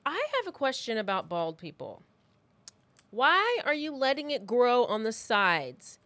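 A woman's voice: on the high side, big pitch swings, measured pace, a bit bright, no echo. A woman co-hosting a podcast, chatting casually in a blunt, opinionated way. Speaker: podcast co-host. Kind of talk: casual chat.

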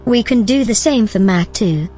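A woman playing a calm, helpful, gently teasing artificial intelligence in a naturalistic audio drama. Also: mid-range voice, normal speed, slightly rough, soft background music. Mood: earnest. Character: calm, obliging, playful female artificial intelligence.

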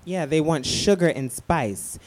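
An actor playing a sassy, churchy radio show sidekick with drawn-out, campy interjections. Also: male voice, a little high, lively intonation, rough recording. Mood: sassy, amused. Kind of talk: radio play acting.

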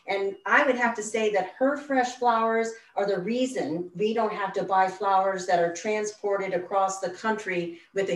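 A woman hosting a program, introducing and interviewing a guest with enthusiasm. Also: mid-range voice. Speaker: radio program host. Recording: roomy and distant from the mic.

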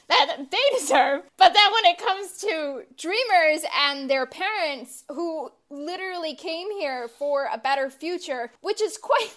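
A woman co-hosting a casual podcast, talking with passion and swearing a lot, full of fillers and restarts. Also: sits high. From grew up in the US after her parents immigrated. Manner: impassioned, profane, rambling with many fillers. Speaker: podcast co-host.

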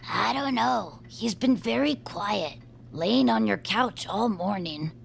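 An actress voicing a young bird who is a devoted fan, speaking in a hesitant, wistful way. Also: female voice, medium pitch, lively intonation, slow pace, creaky, a little hiss or hum, full character voice. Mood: gentle. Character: young bird fan, let down by her hero. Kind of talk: audio drama acting.

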